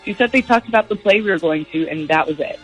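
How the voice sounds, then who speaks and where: A woman's voice: medium pitch, fast talker, fairly bright tone, husky, faint music behind, rough recording. A young woman calling a radio show, telling her story in a casual, chatty way. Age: young adult. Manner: chatty, uses "like" often.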